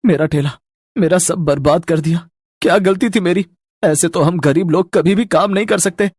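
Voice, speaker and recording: A man plays a poor, hardworking young noodle seller in a cartoon tale. The voice is a little high for a man and recorded very dry. He speaks earnestly, with a plaintive emphasis on his words. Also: normal speed, a little mellow, husky, no room sound. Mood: grieving, accusing. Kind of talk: Hindi cartoon story dubbing.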